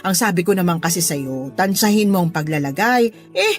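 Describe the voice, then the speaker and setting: A woman acts as a middle-aged mother in a Tagalog radio drama. Her voice sits fairly low, and she comes across as gentle, apologetic and forgetful. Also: lively intonation, moderate pace, soft background music, lightly acted. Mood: motherly, teasing.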